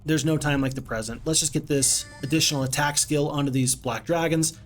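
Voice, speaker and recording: A man's voice: a little high, fairly even intonation, brisk, faint music behind. A man casually commenting on his strategy game stream, rambling and chatting to viewers. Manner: chatty, rambling, reads viewer chat aloud.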